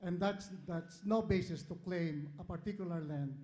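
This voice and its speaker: A man with a Filipino accent putting an earnest, halting, long-winded question from the floor at a public event. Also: sits high, darkish tone. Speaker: audience questioner, community organizer.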